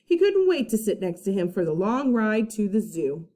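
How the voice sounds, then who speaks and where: A woman teacher reading a children's storybook aloud with dramatic emphasis, pausing to put questions to young listeners. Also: medium pitch, very animated, warm tone. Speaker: first-grade teacher.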